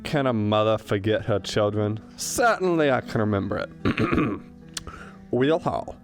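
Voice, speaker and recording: A man's voice: lowish voice, expressive, a little slow, faint music behind. A man playing an aging professor and writer in a radio comedy, folksy and self-deprecating. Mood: jocular. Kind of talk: radio drama acting.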